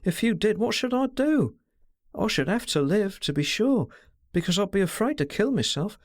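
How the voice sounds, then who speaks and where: A man's voice: sits high, very animated, dry recording, clean studio sound, voice pushed up. Man voicing a stammering, pleading young woman. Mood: desperate. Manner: hurried, stammering, ardent. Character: distressed young woman under twenty, pleading.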